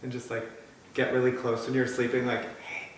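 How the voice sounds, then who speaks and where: A man's voice: mid-range voice, steady tone, a little fast, heavy reverb, a little hiss or hum. A young man co-hosting a vlog, with teasing, playful banter. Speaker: podcast co-host. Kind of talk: casual vlog chat.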